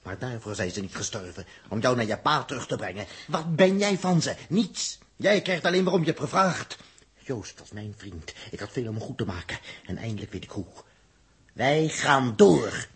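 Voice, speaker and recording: A man acting a grieving, hot-tempered, bitter space crewman in a 1960s radio drama, with an explosive, halting delivery. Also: mid-range voice, lively intonation, fairly bright tone, slightly rough, full character voice. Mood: bitter, determined. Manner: emotional, explosive, halting repetitions. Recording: noisy 1960s radio recording.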